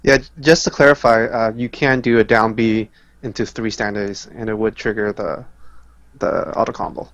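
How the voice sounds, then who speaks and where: A man's voice: fairly low pitch, steady tone, moderate pace, fairly bright tone, dry recording, dull audio. A man chatting casually as a panelist on a gaming podcast. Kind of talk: casual chat.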